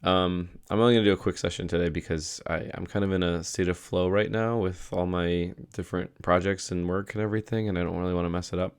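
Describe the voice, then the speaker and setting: A man's voice: low-pitched, steady tone, fast talker, hardly any pauses, smooth. A young man talking casually in a video diary, losing his voice from a cold and rambling with many fillers and restarts. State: losing his voice, a little sick. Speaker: vlogger.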